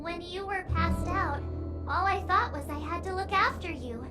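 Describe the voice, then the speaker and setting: A woman voicing a bubbly, excitable, friendly teenage girl in a video game cutscene, chatty and expressive. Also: very high-pitched, moderate pace, loud music behind the voice. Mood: excited, grateful. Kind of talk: animated game cutscene dialogue.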